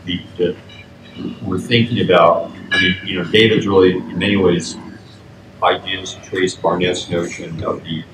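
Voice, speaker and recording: An older man speaking as a panelist, rambling and halting with many fillers. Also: deep voice. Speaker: conference panelist. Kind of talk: academic panel discussion. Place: outdoor conference terrace. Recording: distant echoey mic with background noise.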